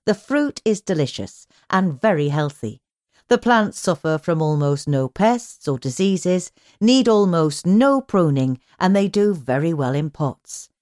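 A British woman reading magazine articles aloud as scripted narration, with measured phrasing and clearly marked headings. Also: on the low side, animated, a little mellow, dry recording, clean studio sound. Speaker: magazine audio edition reader.

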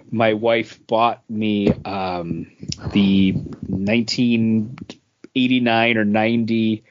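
Slow, hesitant man on a podcast. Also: lowish voice. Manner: hesitant, many filler words. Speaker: podcast co-host. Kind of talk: casual chat. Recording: dull lo-fi audio.

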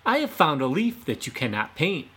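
This is a cunning, mocking fox from a storybook, with a man voicing him. The voice sits high and bright above the narrator's own, loud and gleeful. It swoops widely and carries a breathy, husky grain. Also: wildly swooping pitch, moderate pace, full character voice. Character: sly, mocking male fox trickster. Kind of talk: storybook reading.

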